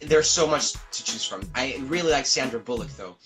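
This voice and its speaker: A man's voice: medium pitch, loud music behind the voice. A man from Cuba, an actor, telling stories in a casual interview, acting out quotes and laughing often. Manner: fast, animated storytelling that acts out quotes and laughs often. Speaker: actor guest.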